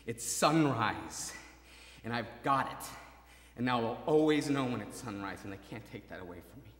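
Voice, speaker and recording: An actor playing a maimed young soldier, desperately hopeful, in a halting, intense dramatic monologue that moves between murmurs and cries. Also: male voice, medium pitch, expressive, fairly bright tone, natural voice. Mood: ecstatic. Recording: reverberant hall, stage performance.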